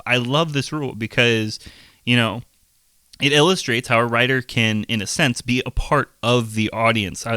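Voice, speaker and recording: A man co-hosting a podcast in casual chat, earnest and reflective, with a voice turning raspy from being sick. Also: medium pitch, pushed and bright, no room sound. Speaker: podcast co-host.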